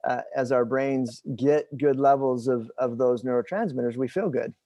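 A man in his forties in a conversational interview, explaining things in a halting, thinking-aloud way. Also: medium pitch, mellow, no room sound. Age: 40s. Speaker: host interviewing his father.

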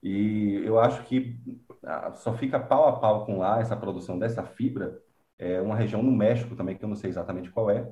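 A man's voice: fairly low pitch, a little fast, dark tone, roomy sound, highs cut off. A man from Bahia, a composer and professor talking unhurriedly in an interview, thinking aloud with many fillers. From Bahia, Brazil. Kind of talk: relaxed conversational interview.

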